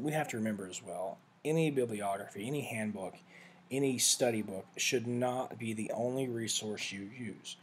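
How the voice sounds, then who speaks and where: A man giving a casual, rambling screen-share walkthrough of study software, often correcting himself mid-sentence. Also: fairly low pitch, slight background noise. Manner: rambling, self-correcting, conversational. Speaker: vlogger reviewing software.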